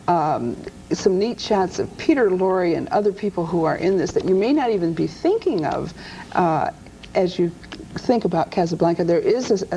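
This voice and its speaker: A woman's voice: fairly low pitch, warm tone, slight background noise, poor audio. A woman hosting a talk show, asking questions in an upbeat, enthusiastic way.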